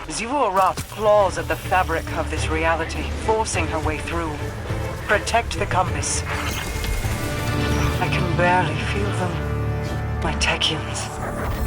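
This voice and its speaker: A brooding, regal game queen, proud and mystical. She speaks very slowly and solemnly over music. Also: deep voice. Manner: slow, solemn, portentous. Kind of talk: video game cutscene acting.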